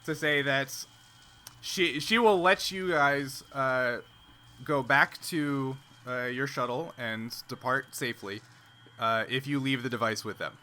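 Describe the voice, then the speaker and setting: A man narrating a tabletop game as game master, halting as he thinks aloud. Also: medium pitch, pushed and bright, a little hiss or hum.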